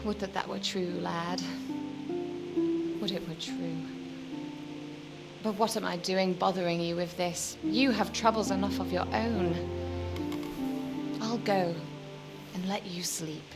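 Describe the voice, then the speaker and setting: Wistful noblewoman with gravelly creak. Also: on the low side, fairly even intonation, takes it slowly, long pauses, over loud music. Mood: gentle, wistful. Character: weary, lonely medieval noblewoman, still young, gently bitter. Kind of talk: dramatic video game dialogue.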